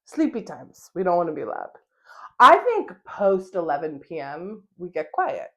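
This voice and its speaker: Young american woman, solo podcast host, casual chatty monologue, lively up-and-down delivery, laughs often. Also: fairly low pitch, a little slow. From United States. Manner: chatty, animated, with frequent laughs and fillers.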